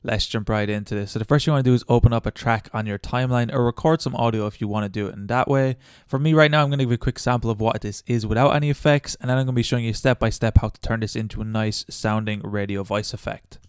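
A man narrating a casual step-by-step software tutorial in a chatty, informal way on a good close microphone. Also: mid-range voice, fast, clean tone, dry recording. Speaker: tutorial host. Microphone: good close microphone, voice-over.

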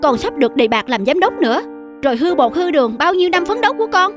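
Exasperated middle-aged son, status-conscious cartoon character, music underneath. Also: male voice, very high voice, very animated, fast talker. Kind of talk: animated film dubbing. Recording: music behind the voice.

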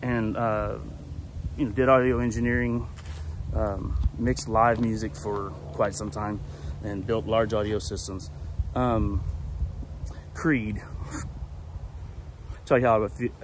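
A man's voice: on the low side, flat delivery, takes it slowly, long pauses, background noise. A man, chatting casually to a phone in his backyard, rambling and correcting himself as he goes. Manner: rambling, self-correcting, easygoing. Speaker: vlogger. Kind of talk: casual walk-and-talk monologue. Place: backyard outdoors. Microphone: phone held at arm's length.

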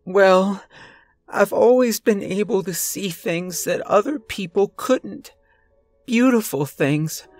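A performer voicing a deranged, desperate and violent man in a dramatic horror story, agitated and hoarse. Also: slow pace, no echo, soft background music, natural voice. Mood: fervent, pleading. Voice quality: hoarse shouting. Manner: agitated, booming, pleading.

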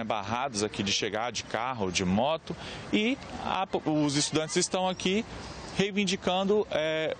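Brazilian male field reporter, noisy street behind. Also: a little high. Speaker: TV news reporter. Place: outdoors on a campus road. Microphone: handheld reporter microphone. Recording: clear street noise, outdoor traffic ambience.

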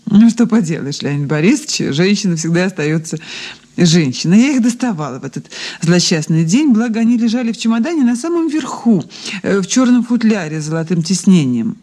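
A woman's voice: mid-range voice, big pitch swings, a little fast, mellow. An actress playing a refined, evasive society lady under questioning in a Soviet radio play, with genteel, sighing, hesitant speech. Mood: wistful, coquettish.

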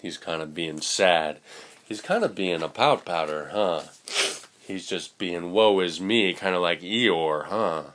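A man's voice: deep voice, a little slow. A young man reading a picture book aloud playfully, with casual asides to children. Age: young adult. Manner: playful, expressive. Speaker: children's storybook reader. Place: indoors, small room with curtain.

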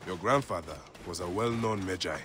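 An actor playing a steady, proud Egyptian warrior father in a dramatic game cutscene. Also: low-pitched, brisk, very noisy, natural voice. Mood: fatherly, reassuring. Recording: game music behind the voice.

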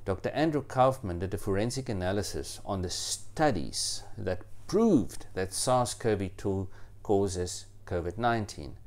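Low-voiced man, deliberate and halting. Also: lively intonation, a little mellow. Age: middle-aged. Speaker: presenter. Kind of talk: talk to camera, explanatory monologue. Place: indoors, small room with bookshelves.

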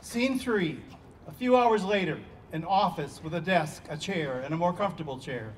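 A man's voice: a little high, animated, moderate pace. A man giving scripted narration, reading out stage directions at a play reading. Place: outdoor stage. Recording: distant outdoor pickup, faint noise.

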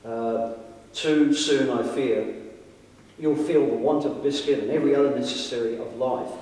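A man's voice: medium pitch, fairly even intonation, moderate pace, darkish tone, close to an everyday voice. A man reading the part of a loyal, cautious colonial bush guide, earnest, deferential and pleading. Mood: regretful, earnest. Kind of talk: old-fashioned dramatic reading aloud. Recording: very echoey hall, far from mic.

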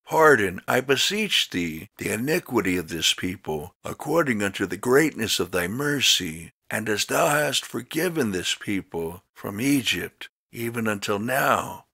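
A man reading scripture as a narrator in a solemn, dramatic style, with measured, emphatic, declamatory delivery. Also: lowish voice, expressive, creaky, pushed and bright, slightly rough, dry recording. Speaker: Bible narrator.

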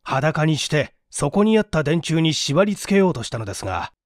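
A police detective, voiced by a man in an audio story, explains things in a measured, matter-of-fact manner. The voice has varied intonation and a dry, close sound. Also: fairly high pitch, very animated, dry recording. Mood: explanatory.

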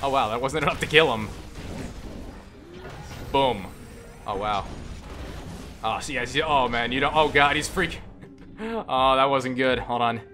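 A man giving casual, profane gameplay commentary, enthusiastic but halting, often restarting his phrases. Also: medium pitch. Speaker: gamer and game reviewer. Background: game music and sound.

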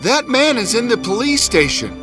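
A man playing a disapproving cartoon gentleman who questions and reproaches in an incredulous manner. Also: extremely high pitch, very animated, moderate pace, over music. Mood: firm. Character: indignant, disapproving gentleman listening to a confession.

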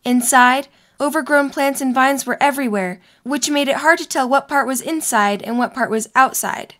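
A woman's voice: high-pitched, moderate pace, fairly bright tone. A young woman reading scripted story narration for an audio drama.

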